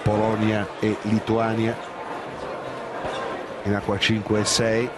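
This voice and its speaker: A man calling a canoe race live as a television commentator, naming boats and lanes in short, measured phrases. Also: lowish voice, completely flat delivery, heavy background noise.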